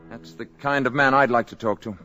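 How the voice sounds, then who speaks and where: An actor playing a courteous male space visitor posing as an ordinary man, soft-spoken and assured, in 1950s radio drama style. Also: medium pitch, fairly even intonation, a little fast, highs cut off, soft background music, close to an everyday voice. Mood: calm.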